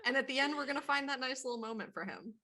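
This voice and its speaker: Bright, forceful young woman. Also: high voice, lively intonation, racing, slight background noise. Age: young adult. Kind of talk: casual group chat.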